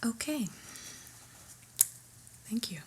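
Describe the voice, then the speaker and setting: A woman whispering an ASMR roleplay as an unimpressed new office boss, deliberate, mildly stern and deadpan, in a breathy voice. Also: a little high, slight background noise.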